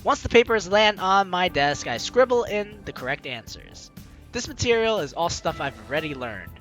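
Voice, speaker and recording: A man commentates on a game as a let's play host, switching between narration and jokey reactions. His voice is high and very lively, with faint music behind it. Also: moderate pace. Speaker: gaming video host.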